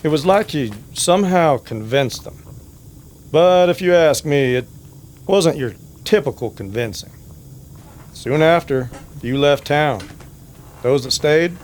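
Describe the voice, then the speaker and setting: A man playing a hesitant, worried small-town barber in an old-time Western radio drama, talking in a halting, confiding way. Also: mid-range voice, expressive, slight background noise, voice pushed up. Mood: grim, confiding.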